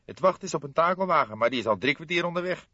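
A man's voice: fairly high pitch, expressive, quick pace, sharp tone, breathy edge, no room sound, lo-fi sound, voice pushed up, heavily acted. A man voicing a harried young street policeman in an audiobook, urgent, exasperated and complaining.

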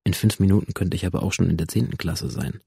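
A detached teenage outsider narrates a radio drama in a laconic deadpan. The voice is low and creaky, recorded very dry and close, with a sardonic edge. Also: male voice, quick pace, dry recording, exaggerated voice. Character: dead teenage school shooter's digital memory copy, detached, sardonic loner. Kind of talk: radio drama, first-person inner narration.

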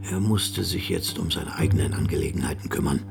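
A man reading scripted literary narration for a radio drama in a measured, grave way, with music underneath. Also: a bass voice, gravelly.

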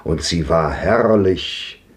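A deep-voiced nostalgic old storyteller. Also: male voice, takes it slowly. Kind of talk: 1950s radio drama acting.

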